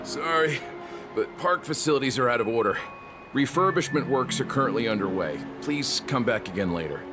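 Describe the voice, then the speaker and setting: A man's voice: medium pitch, expressive, normal speed, close to an everyday voice. An actor playing a tired, flustered male security guard who sounds nervous and apologetic, with music playing behind him. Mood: formal.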